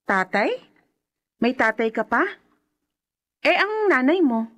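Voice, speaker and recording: A woman playing a kind, gentle, curious young woman in a radio drama. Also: sits high, sing-song, slow pace, heavily acted. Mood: curious, fond.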